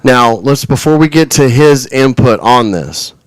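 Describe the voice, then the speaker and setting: A man's voice: medium pitch, animated, a little slow, dry recording. A man in his forties hosting a radio show, chatting casually, rambling with frequent restarts and chuckles. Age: 40s. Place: radio studio.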